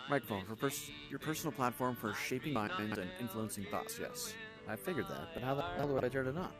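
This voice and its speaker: A man's voice: mid-range voice, lively intonation, fast talker, loud music behind the voice. A man hosting a gaming video with casual gameplay commentary.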